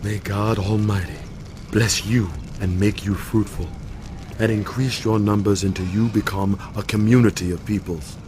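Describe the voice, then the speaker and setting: An actor playing an aged, commanding patriarch father, grave and deliberate, in a Bible audio drama. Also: on the low side, steady tone, lightly acted. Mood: solemn blessing. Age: older. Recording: noisy ambience behind voice.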